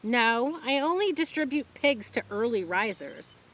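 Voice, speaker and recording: A woman reading a gentle, firm farmer dad. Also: a little high, lively intonation, a little slow, pitched above the performer's own voice.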